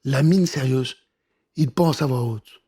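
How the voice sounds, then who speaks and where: A man narrating a scripted children's adventure story in an expressive, suspenseful way. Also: medium pitch, slow pace, slightly rough.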